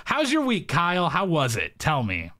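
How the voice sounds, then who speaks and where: A man's voice: a little high, huge pitch swings, moderate pace, pushed and bright. A man co-hosting a movie podcast in joking casual chat, sarcastic and sweary with mock exasperation. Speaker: podcast co-host.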